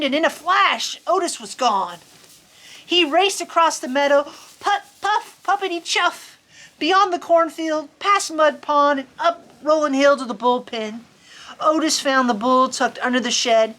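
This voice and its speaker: High-voiced woman reading a children's story. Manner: folksy, chatty, expressive. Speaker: storytime host reading a picture book aloud. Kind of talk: animated children's story reading with casual asides. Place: on a farm among sheep.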